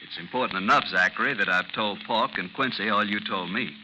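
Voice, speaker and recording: An actor playing a protective friend and former army officer, earnest and insistent, in 1950s radio drama style. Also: male voice, lowish voice, fairly quick, close to an everyday voice. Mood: earnest, coaxing. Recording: noisy, sizzling 1950s radio recording.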